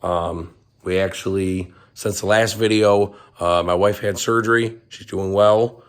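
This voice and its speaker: A hoarse man with laryngitis, hosting a casual garage vlog update, rambling with frequent ums and coughs. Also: low-pitched, fairly even intonation, a little slow. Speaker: channel host, car restorer.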